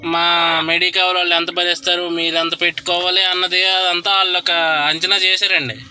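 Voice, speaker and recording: A man making a prank sales call in a polite, halting but insistent way. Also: sits high, little pitch movement, bright, forceful tone, soft background music. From Godavari districts, Andhra Pradesh, India. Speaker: prank caller posing as hospital telemarketer. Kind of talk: prank phone call, mock sales pitch.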